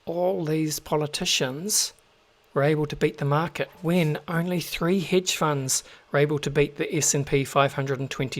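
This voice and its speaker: Chatty New Zealand man hosting. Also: fairly high pitch. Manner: chatty, many fillers and restarts. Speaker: host. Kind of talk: casual commentary to listeners.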